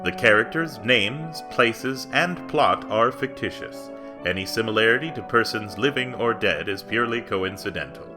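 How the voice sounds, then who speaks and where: An actor playing a formal 1940s-style radio program announcer, reading a script. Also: male voice, on the low side, animated, normal speed, lightly acted. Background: plucked string music.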